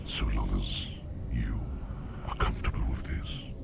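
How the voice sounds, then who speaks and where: A man voicing a curious, courteous sleep demon in an ASMR roleplay, speaking in a breathy, gravelly whisper with formal, measured, reassuring phrasing. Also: very deep voice, steady tone, very noisy, heavily acted. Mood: calm, courteous.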